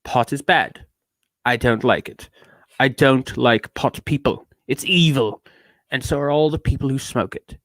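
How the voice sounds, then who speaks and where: A man's voice: mid-range voice, a little slow, a bit bright, dry recording. A man voicing a bitter, neurotic ranter in a theatrical dramatic reading, with a put-on accent that shifts around. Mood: contemptuous ranting. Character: edgy, bitter, ranting neurotic man telling a travelogue. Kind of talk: dramatic reading of a rambling rant.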